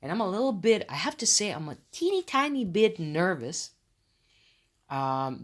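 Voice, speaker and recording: Solo podcast host with a Croatian accent, chatty and effusive, non-native English, a touch self-conscious. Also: medium pitch. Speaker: podcast host, tarot reader. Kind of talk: casual solo podcast chat.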